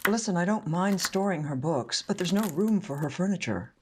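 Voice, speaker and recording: A woman's voice: fairly low pitch, animated, normal speed, a little hiss or hum, close to an everyday voice. A woman acting in a radio play as an exasperated, blunt wife and mother with a curt, pointed manner. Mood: annoyed.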